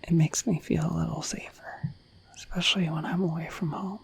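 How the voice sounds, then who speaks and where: A woman voicing a shy, friendly dragon in a breathy whispered ASMR roleplay, rambling gently and hesitantly to the listener. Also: low voice, steady tone, brisk, exaggerated voice. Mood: shy, tender. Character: shy, friendly, dorky dragon in humanoid form.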